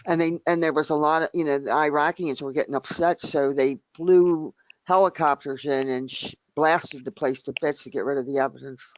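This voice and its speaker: Older guy, kinda high voice, stops and restarts a lot, dry muffled recording. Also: steady tone, no room sound. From United States, near Washington D.C. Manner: halting and emphatic, with many restarts. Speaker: open mic speaker. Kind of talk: casual group discussion.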